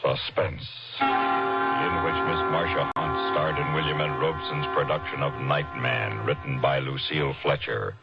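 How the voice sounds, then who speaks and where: Very deep, gravelly radio announcer man. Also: fairly even intonation. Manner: formal, measured. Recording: muffled old radio broadcast with music.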